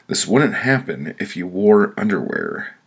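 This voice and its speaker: Exasperated, scolding wife for comic audiobook, done by a man, raspy and raised over the narrator's low voice. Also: very animated, unhurried, full character voice.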